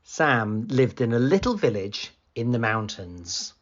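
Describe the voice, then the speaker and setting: A woman reading a picture book aloud for children in an animated, deliberate storytelling manner. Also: very deep voice, muffled recording.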